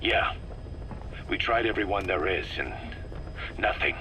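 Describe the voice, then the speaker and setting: A man's voice: deep voice, unhurried, bright, forceful tone, slight background noise. A man playing a blunt, wary military commander in a video game cassette tape, asking worried questions.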